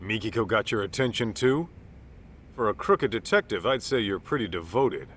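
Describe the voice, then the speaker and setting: A man's voice: fairly low pitch, animated, normal speed, fairly bright tone, a little hiss or hum. A man playing a brash, streetwise private detective in a video game, talking bluntly in casual slang. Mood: teasing. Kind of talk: video game cutscene dialogue.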